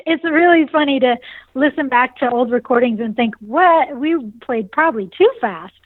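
A woman's voice: sits high, moderate pace, dry recording. Bubbly nasal woman in her forties. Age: 40s. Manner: bubbly, laughs often. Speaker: singer and saxophonist. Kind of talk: casual interview answers. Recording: dry, tinny phone line.

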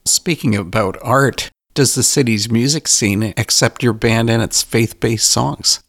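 A man interviewing a musician, asking measured, prepared questions. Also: medium pitch, gravelly, a bit bright, slightly rough.

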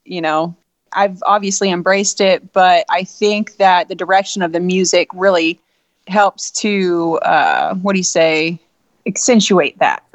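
A woman who fronts a band, with a low voice, answering interview questions candidly and reflectively. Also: expressive, unhurried, no room sound.

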